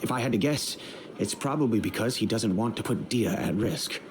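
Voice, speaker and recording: An actor playing a middle-aged, remorseful wealthy merchant and father in a video game, speaking in a measured, earnest, formal way. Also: lowish voice, background noise, natural voice. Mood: regretful, troubled. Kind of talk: dramatic video game dialogue.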